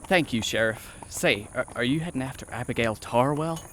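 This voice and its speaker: A man's voice: mid-range voice, normal speed, crowd noise, close to an everyday voice. An actor playing an eager, polite young frontier man in an audio drama, hesitant yet determined. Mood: insistent. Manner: polite, hesitant but insistent. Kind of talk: western audio drama acting.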